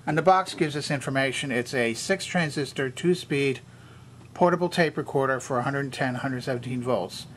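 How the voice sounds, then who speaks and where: A man's voice: mid-range voice, moderate pace, a bit bright, slight background noise. An older man giving a methodical, hands-on explanatory tour as a repairer demonstrating equipment. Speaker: vintage electronics repairer and demonstrator.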